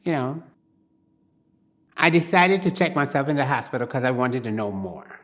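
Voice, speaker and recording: A woman's voice: rumbling low, animated, normal speed. An older woman from Barbados, telling her life story in an oral history interview in a plain-spoken, reflective way.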